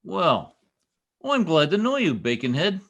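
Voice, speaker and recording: A man as a self-important husband, folksy, bumbling, animated pitch, old radio comedy. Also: moderate pace, full character voice. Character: blustering, long-winded, self-important husband, a tall-tale teller. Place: at home on a video call.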